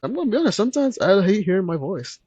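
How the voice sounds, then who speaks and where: A man speaking gently and earnestly as a podcast guest, with a soft, soothing voice. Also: high voice, very animated, racing, slightly rough. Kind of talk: candid conversational interview.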